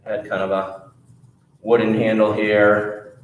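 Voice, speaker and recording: A man telling a children's story at a library storytime, animated and playful, chatting with his young listeners. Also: on the low side, measured pace, echoey room, rough recording.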